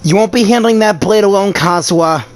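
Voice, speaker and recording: A man's voice: high-pitched, a little fast. A man giving casual reaction commentary as he plays a video game, with music in the background. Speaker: gamer commenting on gameplay.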